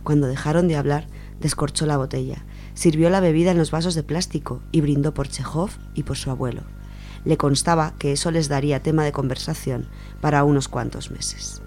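A woman's voice: deep voice, steady tone, fairly quick, darkish tone, slight background noise. A woman with an accent from Spain reading a literary short story aloud as a radio storyteller. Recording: music behind the voice.

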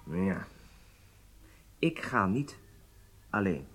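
A man's voice: low-pitched, sing-song, very slow, fairly bright tone, slight background noise, exaggerated voice. An actor playing a charming, self-assured agent in his late thirties, speaking with casual nonchalance in a radio drama. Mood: pleased, insistent. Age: 30s.

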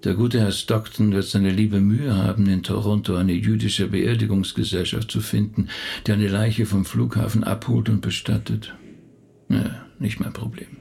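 An actor playing a harried, earnest rabbi in a radio drama, speaking in a measured way. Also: male voice, fairly low pitch, little pitch movement, gravelly, darkish tone, in a large hall. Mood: weary musing. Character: harried, apologetic male rabbi who also preaches.

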